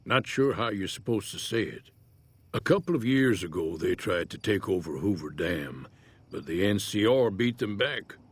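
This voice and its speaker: Low, husky old frontier prospector. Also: male voice, lively intonation, moderate pace. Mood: measured, wary. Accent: American. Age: older. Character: easygoing old Western frontier prospector, folksy and plainspoken. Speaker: game character, townsfolk prospector. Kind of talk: video game character dialogue.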